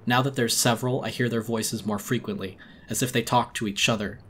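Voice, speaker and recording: Male horror audiobook narrator: medium pitch, world-weary, brooding, dramatic first-person read. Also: fairly even intonation, a little fast, fairly bright tone, slight background noise.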